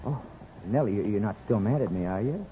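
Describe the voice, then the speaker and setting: A man's voice: on the low side, lively intonation, a little fast, noisy background, close to an everyday voice. An actor playing an earnest, folksy small-town barber and newlywed husband who also narrates his story in a 1950s radio drama. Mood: soothing. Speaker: actor and first-person narrator. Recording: dull 1950s radio recording with music.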